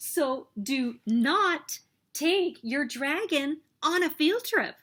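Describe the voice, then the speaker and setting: A woman reading a children's picture book aloud as story host, with expressive, emphatic delivery. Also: high-pitched, slow pace. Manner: expressive, emphatic storytelling with sing-song refrains.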